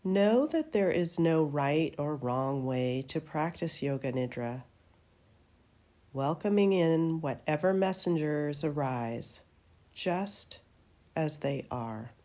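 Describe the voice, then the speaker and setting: A woman teaching a guided meditation in a gentle, soothing manner, her phrases trailing off softly at the ends. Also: sits low, expressive, takes it slowly. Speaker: yoga nidra meditation teacher.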